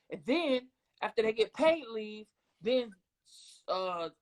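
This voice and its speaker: An American man co-hosting a casual podcast, talking bluntly in slang with profanity and frequent tag questions. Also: high voice. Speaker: podcast co-host.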